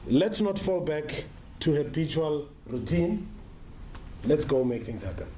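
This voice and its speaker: A South African man with a fairly high voice delivers a business presentation in an echoing room. He speaks in measured, emphatic short phrases, with a little noise in the recording. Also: lively intonation. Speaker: marketing executive giving a conference presentation. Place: conference room with an audience. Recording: roomy, echoing sound with faint noise.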